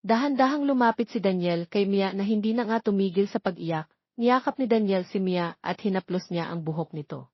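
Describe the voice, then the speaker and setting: A woman's voice: mid-range voice, moderate pace, breathy edge, no room sound. A woman narrating a scripted romance story as its storyteller. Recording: dry, slightly dull studio sound.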